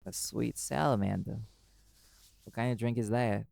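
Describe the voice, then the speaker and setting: A man's voice: on the low side, fairly even intonation, normal speed, creaky, darkish tone, no room sound. A man playing a cool, wry, flirty magical private eye in an intimate audio roleplay, teasing dryly and swearing casually.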